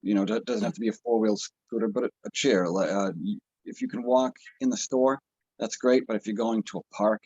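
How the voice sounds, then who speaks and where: A man in his forties from the United States gives advice over a video call. His voice sits low, and he talks in a casual, halting, encouraging way. The sound is dry and a little dull. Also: darkish tone, breathy edge, no echo. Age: 40s. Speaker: patient panelist.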